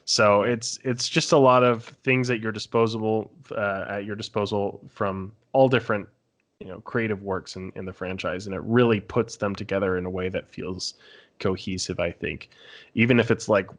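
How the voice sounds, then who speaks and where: A man's voice: on the low side, fairly even intonation, moderate pace. A man talking casually as a panellist in a fan discussion podcast.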